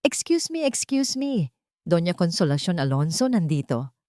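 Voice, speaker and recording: A woman's voice: fairly low pitch, sing-song, moderate pace, warm tone, no room sound, clean studio sound. Pompous wealthy older Filipino matriarch, voiced by a woman narrator. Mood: pushy. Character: proud, pushy wealthy older society matriarch.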